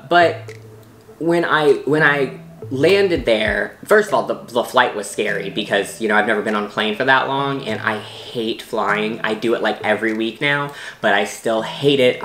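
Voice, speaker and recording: A man's voice: fairly low pitch, a bit bright. A young American man talking casually to camera as a travel vlogger, rambling with lots of restarts and fillers. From United States. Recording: roomy echo with faint music.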